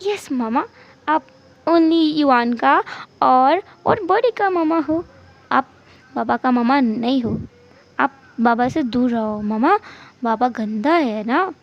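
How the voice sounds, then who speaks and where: A woman's voice: high-pitched, lively intonation, takes it slowly, muffled recording, exaggerated voice. A woman voicing a mischievous five-year-old boy who talks in simple, repeated childish phrases as part of a told story. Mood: stubborn, cheeky. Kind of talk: amateur storytelling.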